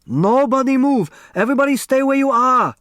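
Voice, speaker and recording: A bossy, excitable father who runs a restaurant, voiced by a male narrator. He shouts his orders high and urgent, with big swoops in pitch, as a storybook character in a dry recording. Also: moderate pace, no room sound. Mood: panicked, commanding. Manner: shouting, commanding.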